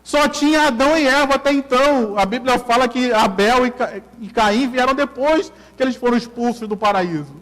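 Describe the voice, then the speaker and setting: Carioca pastor guy, really high voice, keeps repeating himself and quizzing the church, big hall echo. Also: normal speed, few pauses, a bit bright. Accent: Carioca Brazilian Portuguese. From Brazil. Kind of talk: sermon.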